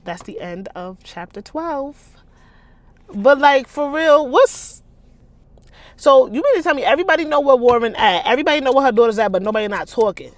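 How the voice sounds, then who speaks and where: A woman with an American accent reading urban fiction aloud as its author, breaking into animated, slangy commentary. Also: high voice, normal speed, no room sound. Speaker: author and host reading their own novel aloud.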